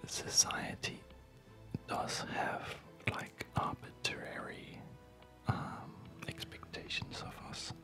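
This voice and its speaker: An ASMR gaming host speaking in a soft unvoiced whisper, rambling in a reflective, hesitant way, close to the microphone. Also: slow. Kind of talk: ASMR, unscripted rambling over gameplay. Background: music.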